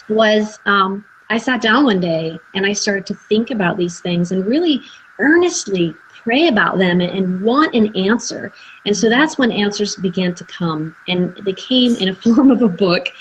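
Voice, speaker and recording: A woman's voice: mid-range voice, lively intonation, moderate pace, a little hiss or hum, poor audio. A woman hosting a live video chat, telling her own story earnestly with fillers and restarts. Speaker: host. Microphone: video call.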